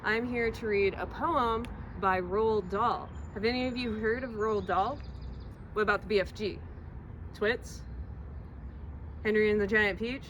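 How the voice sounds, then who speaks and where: A woman reading a children's poem aloud in a theatrical, expressive storytelling manner, recorded outdoors. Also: medium pitch, unhurried, long pauses. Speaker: library reader presenting a poem. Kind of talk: animated children's poetry reading. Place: outdoors outside a public library. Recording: outdoor recording with faint traffic noise.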